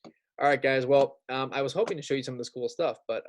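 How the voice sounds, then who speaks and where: A man's voice: mid-range voice, quick pace, slight background noise. A man teaching young students in an informal read-aloud style, chatty and often checking in with them. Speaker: elementary school teacher. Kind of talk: read-aloud lesson with casual asides.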